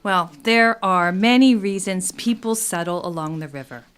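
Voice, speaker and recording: A woman's voice: mid-range voice, normal speed, a bit bright, smooth, faint music behind, close to an everyday voice. A woman playing an inquisitive, easily exasperated amateur philosopher in scripted comedic radio theatre. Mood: musing.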